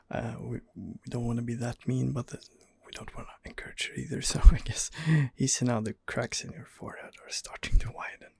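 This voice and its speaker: A man doing softly murmured ASMR game roleplay, breathy and husky, with mild, hesitant, unhurried replies close to the microphone. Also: mid-range voice, fairly even intonation, no echo. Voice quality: breathy, husky, half-whispered. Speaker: gamer role-playing as his character.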